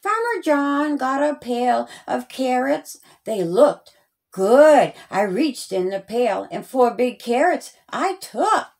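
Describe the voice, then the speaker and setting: A woman reading a rhyming children's story aloud in a deliberate, sing-song way, as a storyteller. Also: high voice, big pitch swings, darkish tone.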